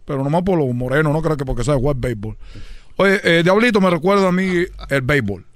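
A man guesting on a comedy radio show, talking fast in joking banter, stumbling over repeated words and calling everyone "chico". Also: on the high side, animated. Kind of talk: rapid comic banter.

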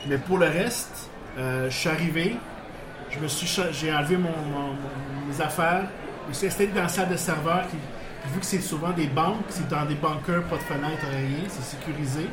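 A man's voice: fairly high pitch, moderate pace. Quebec French man, casual guest storyteller, rambling and chuckling, roomy noisy bar recording. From Portuguese background, living in Quebec. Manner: rambling, many restarts, chuckling. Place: bar with live audience. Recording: echoey bar with background noise.

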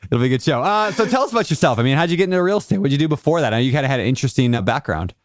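A man's voice: a little high, sing-song, dry recording, studio quality. A man hosting a podcast interview in a casual, joking, chatty way. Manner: joking, chatty, quick to laugh. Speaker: podcast host.

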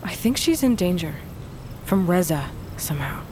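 A woman's voice: lowish voice, sing-song, moderate pace, background noise. An actress in an audio drama playing a stubborn, loyal young exile, a queen's defiant daughter. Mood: stubborn, resolute. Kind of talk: audio fiction drama acting.

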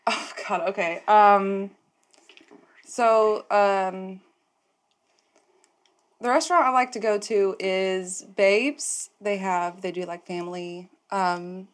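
A woman's voice: medium pitch, steady tone, slow pace, pauses often. A woman from Texas chatting casually as a player at a game table, giggly and a bit sheepish. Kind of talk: casual chat.

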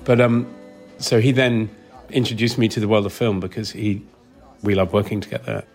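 A man with a fairly low voice speaks as an interview guest explaining his craft. His delivery is casual and reflective, a little halting as he thinks aloud and rewords his sentences. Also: fairly even intonation, darkish tone, faint music behind. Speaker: film sound designer, interview guest.

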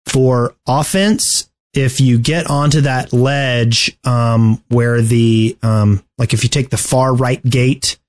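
Male co-host, mid-range voice, casual gaming talk, halting and deliberate delivery. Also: mid-range voice, fairly even intonation. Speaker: podcast co-host. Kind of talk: casual video game discussion.

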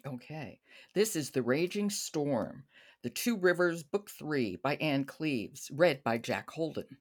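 A woman's voice: deep voice, animated, takes it slowly. A woman hosting a podcast in a conversational style. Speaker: podcast host.

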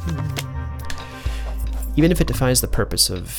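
An actor playing a tired, absent-minded archivist in a fantasy audio drama, muttering creakily to himself. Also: male voice, medium pitch, expressive, moderate pace, over music, close to an everyday voice. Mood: tired, musing.

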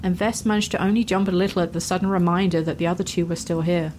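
A woman's voice: fairly low pitch, steady tone, quick pace, a little mellow, a little hiss or hum, poor audio. A woman narrator reading a scripted fantasy story.

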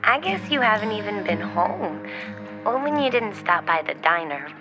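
A woman's voice: medium pitch, sing-song, moderate pace, natural voice. An actress playing a self-effacing small-town woman in an audio drama, talking casually and a little hesitantly. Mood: quietly let down. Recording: music behind the voice.

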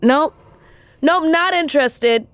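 An actress playing a stressed, sharp-tongued, weary female long-haul trucker in a naturalistic audio drama. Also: high voice, slow, no room sound. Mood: firm, wary. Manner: blunt, exasperated.

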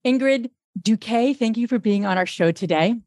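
A woman's voice: on the high side, darkish tone, no room sound, clean studio sound. A woman co-hosting a podcast, welcoming listeners and introducing the guest in an upbeat way. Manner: upbeat, quick. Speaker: podcast co-host.

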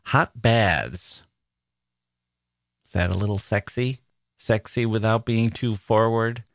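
A man's voice: on the low side, a bit bright, dry recording, studio quality. A man hosting a podcast, giving wry, amused commentary as he reads out personal ads and muses aloud in rhetorical questions. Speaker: podcast host. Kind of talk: humorous solo commentary reading out personal ads.

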